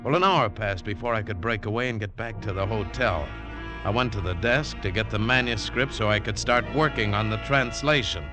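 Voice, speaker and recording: A man's voice: fairly low pitch, moderate pace, close to an everyday voice. A man playing a tough, wary American adventurer who narrates his treasure hunt in clipped, hardboiled 1940s radio style. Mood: intense, brooding. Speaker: lead actor and narrator. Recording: old 1940s radio broadcast, music behind.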